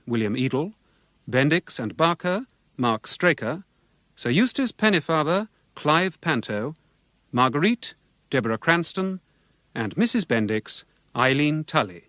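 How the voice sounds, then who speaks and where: Radio announcer, dry and animated man. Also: medium pitch, normal speed, no echo. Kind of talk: formal scripted cast credits.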